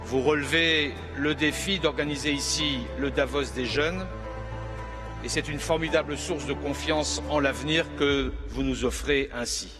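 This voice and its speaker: A man's voice: a little high, steady tone, normal speed, over music. A man delivering a formal, declarative speech.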